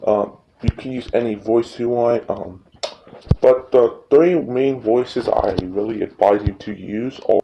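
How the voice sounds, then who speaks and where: A man hosting a casual tutorial, speaking informally and haltingly, often repeating himself. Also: medium pitch, dark tone. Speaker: tutorial host. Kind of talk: casual screen-recording tutorial. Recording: noisy recording with clicks.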